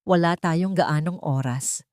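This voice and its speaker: A woman's voice: lowish voice, a little slow, a little mellow, dry recording, close to an everyday voice. Casting: female narrator as grave hospital doctor, formal and serious, dry studio storybook sound. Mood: grave, urgent.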